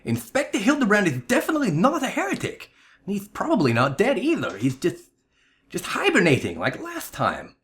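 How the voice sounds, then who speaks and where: A man's voice: high-pitched, huge pitch swings, moderate pace, a bit bright, voice pushed up, heavily acted. A man voicing an earnest, eager young female assistant in a melodramatic reading of video game dialogue. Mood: insistent. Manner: eager, pleading, exclamatory. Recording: music behind the voice.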